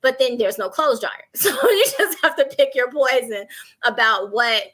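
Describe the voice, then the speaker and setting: An American woman from Chicago giving casual, candid advice in an animated, humorous way, full of examples. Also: a little high, moderate pace. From South Side of Chicago, United States. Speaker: panel guest. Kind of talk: casual conversational advice with anecdotes.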